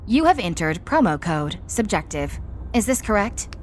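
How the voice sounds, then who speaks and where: A woman's voice: mid-range voice, sing-song, moderate pace, background noise, lightly acted. A woman voicing a courteous automated car entertainment system in an audio drama, making polite, formulaic announcements. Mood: courteous, promotional. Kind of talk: scripted announcement. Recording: music playing underneath.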